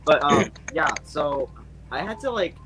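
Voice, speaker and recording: A man's voice: a little high, expressive, a little slow, a loud crowd, rough recording. A young man chatting casually over a call, rambling with lots of fillers and restarts. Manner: rambling, full of fillers and restarts, says his natural voice is quiet. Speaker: channel owner and voice actor.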